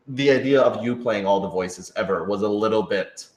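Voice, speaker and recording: A man's voice: on the low side, moderate pace. A man chatting casually as a writer and producer answering questions, rambling and leaning heavily on filler words. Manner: rambling, heavy use of "like". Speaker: writer and producer of an audio drama. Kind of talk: casual Q&A chat. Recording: roomy, echoing indoor sound.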